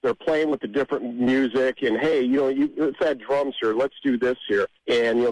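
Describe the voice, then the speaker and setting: A man's voice: medium pitch, dry recording, rough recording. A man speaking conversationally as a guest in a radio interview, explaining things and repeating points for emphasis. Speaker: interview guest, amateur songwriter.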